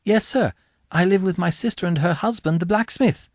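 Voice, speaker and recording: A man's voice: high-pitched, normal speed, dry recording, clean studio sound, pitched above the performer's own voice. A man voicing a small, timid young boy in an audiobook, polite, earnest and a little faltering. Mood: polite, nervous. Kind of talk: dramatised audiobook character voice.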